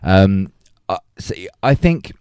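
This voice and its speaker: A man's voice: deep voice, animated, takes it slowly, darkish tone, clean tone, no room sound, studio quality. A man hosting a casual film discussion, thoughtful and hesitant, repeating words as he builds his points. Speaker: podcast host.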